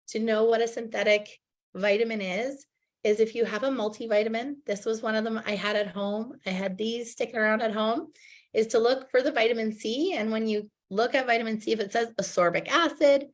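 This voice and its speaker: Woman host, 30s, a little husky from a cold, chatty and upbeat, video call. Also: mid-range voice. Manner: chatty, upbeat, many ums and restarts. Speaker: host, direct sales presenter. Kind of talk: casual online product presentation. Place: at home, online video call.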